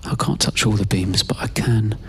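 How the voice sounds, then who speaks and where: A man giving a slow, scripted first-person spoken-word narration in a hushed, deliberate way, pausing mid-phrase. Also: lowish voice, steady tone, slight background noise. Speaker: performer of own monologue. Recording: loud music over the voice. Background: loud music.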